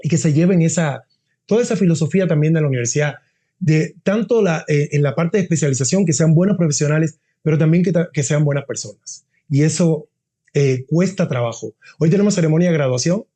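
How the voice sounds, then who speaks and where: A man's voice: a little high, fairly quick, dark tone. A middle-aged man, a university dean, talking earnestly in a casual interview and often restarting his phrases.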